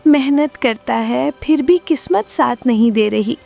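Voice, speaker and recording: A woman playing a slow, creaky old mother-in-law. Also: high-pitched, mellow, soft background music, exaggerated voice. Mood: gentle, consoling. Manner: consoling, placating. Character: patient, devout elderly mother-in-law in a poor family. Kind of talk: cartoon moral-story dialogue.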